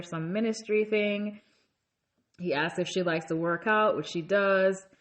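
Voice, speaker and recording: A woman hosting a casual reality TV recap, chatty and conversational, with many fillers and asides, raising her voice to act out quoted lines. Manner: acts out quoted lines in a raised pitch. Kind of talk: casual episode recap commentary.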